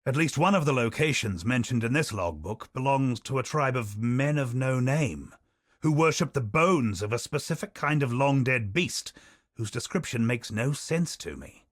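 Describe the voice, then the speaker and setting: An actor playing a curious, scholarly male explorer who speaks in dry, wry asides with a measured storytelling delivery. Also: medium pitch, animated, no echo, natural voice. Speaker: expedition companion character. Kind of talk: studio video game voice lines.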